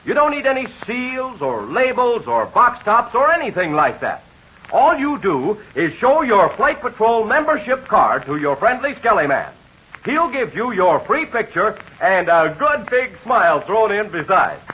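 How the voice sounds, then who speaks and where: Eager high-voiced 1940s radio announcer. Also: male voice, very animated, a little slow, sharp tone, slightly rough. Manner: eager, breathless kid-show salesman. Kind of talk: 1940s radio sponsor pitch. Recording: dull lo-fi 1940s radio transcription.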